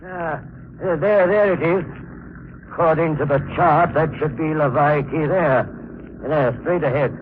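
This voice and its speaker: An actor playing a fearful, superstitious boat captain who gives ominous warnings in melodramatic 1940s radio style. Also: male voice, fairly high pitch, unhurried, natural voice. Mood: fearful foreboding. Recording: noisy, narrow-band 1940s radio recording.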